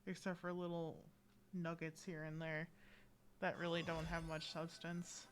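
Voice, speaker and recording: A man comments casually on a game as a streamer. His voice sits slightly high and stays quite monotone. The recording has clear background noise and clicking. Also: measured pace.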